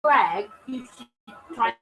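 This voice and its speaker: A woman's voice: fairly high pitch, animated, slow, creaky, sharp tone. A woman from Mexico chatting casually on a stream, teasing and bantering with her co-host. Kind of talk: casual chat.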